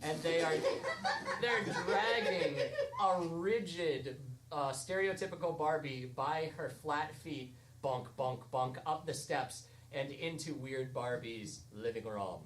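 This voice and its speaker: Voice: medium pitch, slow. An improv performer narrating a parody audio drama live on stage in a playful, theatrical manner. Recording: noisy live stage recording.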